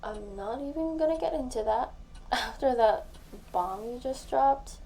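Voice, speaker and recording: A woman acting a tipsy, lovesick young woman confessing to her best friend in an intimate audio roleplay, soft and breathy, halting and often near a whisper. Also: high voice. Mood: nervous, hopeful. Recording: faint background noise.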